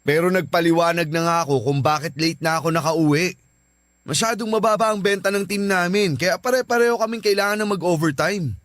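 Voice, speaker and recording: A man's voice: high voice, brisk, fairly bright tone, dry recording. An actor playing an earnest young husband and father with a Filipino accent in a radio drama. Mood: defensive, weary. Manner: earnest, emotive.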